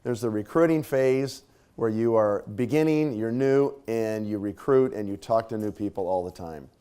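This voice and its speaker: A man with a slightly scratchy voice, clearing his throat now and then, gives a conversational leadership talk to a live audience. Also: on the low side, moderate pace, warm tone. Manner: conversational, casual fillers and tag questions. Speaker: speaker at a leadership training.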